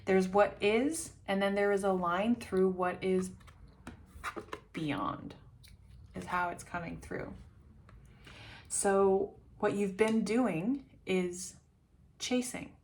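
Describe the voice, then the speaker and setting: Slow, rambling woman giving a reading. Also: mid-range voice, darkish tone. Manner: meandering, chatty, muses aloud. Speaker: tarot reader. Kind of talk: intuitive reading to camera.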